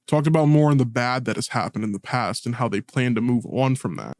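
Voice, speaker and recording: A man's voice: medium pitch, a little mellow, no echo, clean studio sound. A man speaking earnestly and colloquially in a personal video essay, as the creator narrating it.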